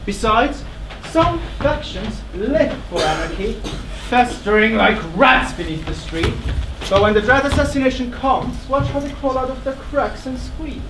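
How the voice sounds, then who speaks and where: Distant echoey stage, male actor as grim royal courtier, high swooping voice, declamatory, fervent, dramatic pauses. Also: lively intonation, heavily acted. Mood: grim, urgent. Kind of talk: theatrical stage tragedy acting. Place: theatre stage. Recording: distant, echoey theatre stage, background noise.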